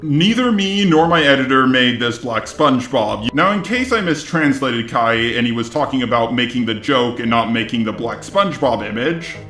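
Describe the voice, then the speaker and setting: A man's voice: medium pitch, lively intonation, normal speed, few pauses, a bit bright, roomy sound, soft background music. A man hosting scripted commentary in a synthetic-sounding voice, argumentative and sarcastic. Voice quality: synthetic robot voice. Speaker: video host. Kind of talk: scripted commentary on online drama.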